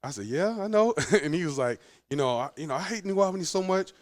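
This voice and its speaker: A man's voice: high voice, fast talker, no room sound. A man in his forties, a head football coach, telling stories with frequent laughs in a casual podcast interview. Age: 40s. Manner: animated storyteller, laughs often.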